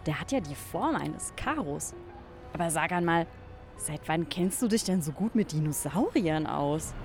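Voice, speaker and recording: An actress plays a curious, playful young woman in a youth radio play. Her delivery is highly animated and a little sceptical, with a teasing edge. Soft music sits behind her. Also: unhurried. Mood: sceptical, game. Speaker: actress in an educational audio drama.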